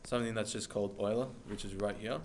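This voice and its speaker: Flat, low-voiced student explaining calmly. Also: male voice, quick pace, a little hiss or hum. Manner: offhand, plain explaining with fillers. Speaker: student demonstrating calculator. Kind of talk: classroom demonstration. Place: classroom.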